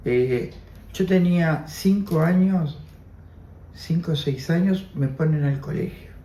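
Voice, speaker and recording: A man's voice: on the high side, takes it slowly, mellow, roomy sound. A man in his seventies with an Argentine Spanish accent, Armenian as his first language, giving an oral history testimony, reflective and repeating his phrases. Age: 70s. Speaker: interviewee.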